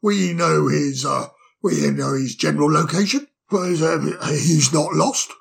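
A man's voice: a little high, a little slow, vocal fry, breathy edge, full character voice. A man voicing a timid, flustered male owl who stammers and apologizes in a storybook reading. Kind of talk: storybook audiobook character voice.

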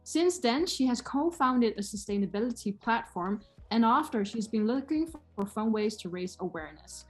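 A woman co-host reads a scripted introduction for the guest, delivering it evenly and smoothly. Her voice sits somewhat higher than usual. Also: lively intonation, moderate pace, soft background music, poor audio. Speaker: podcast co-host.

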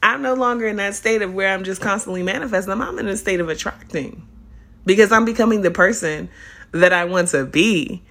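A young adult woman hosting a casual solo podcast, her voice a little raspy as it recovers from illness, talking in an animated, playful and profane way. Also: medium pitch, brisk. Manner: animated, profane, playful, spiritual self-help talk. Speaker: podcast host.

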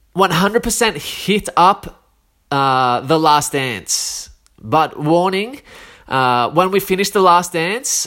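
A man's voice: a little high, a bit bright. A man in his thirties with an Australian accent, chatting casually on his own, a little sick, with a slangy, rambling delivery full of fillers. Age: 30s. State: a bit sick, coughing. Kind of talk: casual solo chat.

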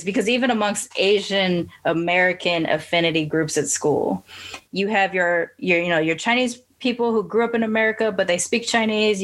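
A woman hosting a podcast, talking things over in a relaxed, reflective way and asking long open questions. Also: mid-range voice. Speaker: podcast host. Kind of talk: casual interview conversation.

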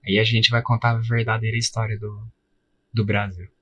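A man's voice: lowish voice, little pitch movement, normal speed, pushed and bright, husky, muffled recording. A man with a Brazilian accent hosting a podcast, chatting casually in informal, slangy speech. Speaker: podcast host.

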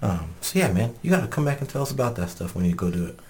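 A man's voice: fairly low pitch, sing-song, fast talker, darkish tone, a little hiss or hum. A man hosting a casual group podcast, joking and ribbing his friends, with frequent swearing. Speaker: podcast host.

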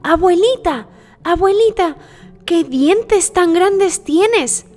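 A woman's voice: very high-pitched, expressive, takes it slowly, darkish tone, pitched above the performer's own voice, exaggerated voice. A woman voicing a sweet, innocent little girl in a children's story, with a childlike singsong manner. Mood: innocent, wondering. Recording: long reverb with music behind.

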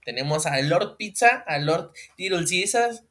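Mexican man, co-host, casual comedic chat, bright voice, very expressive pitch. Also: a little slow. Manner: animated, slangy, laughs often, mimics voices.

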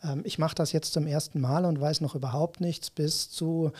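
A man with a mellow, warm voice, pitched a little higher than most men, answers as a guest in a casual interview. He explains things calmly and in a measured way. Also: breathy edge. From Germany. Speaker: media coach, interview guest.

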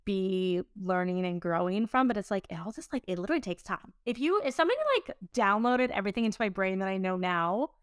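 A woman's voice: medium pitch, lively intonation, fast, few pauses, clear, resonant tone, no room sound, studio quality. A woman in her late twenties talking casually as a podcast host, in an informal, rambling way sprinkled with "like". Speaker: podcast host and business owner. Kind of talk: casual conversational podcast chat.

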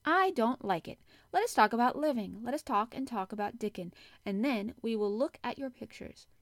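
A woman's voice: a little high, lively intonation, moderate pace, no echo, pitched above the performer's own voice. Storybook role: outspoken little girl, read by a woman, obstinate yet enthusiastic, frank delivery, dry studio-like closeness. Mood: eager, coaxing. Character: earnest, stubborn, outspoken young girl. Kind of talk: audiobook character acting.

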